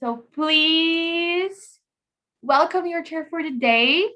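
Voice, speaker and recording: A woman's voice: very high-pitched, very slow, pushed and bright. A woman hosting an online conference opening, chatty and enthusiastic, drawing the audience in with questions over a video call. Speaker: conference chair and host.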